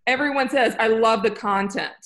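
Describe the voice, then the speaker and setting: A woman's voice: a little high, normal speed. A woman talks through course plans on a video call. She rambles and corrects herself as she goes, staying upbeat. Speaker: course producer. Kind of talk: casual video call meeting.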